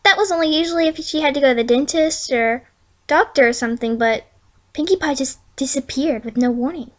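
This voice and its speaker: A woman's voice: high-pitched, a little fast. A woman reading fan fiction as an informal storyteller, conversational and stumbling over words. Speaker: amateur fan fiction reader.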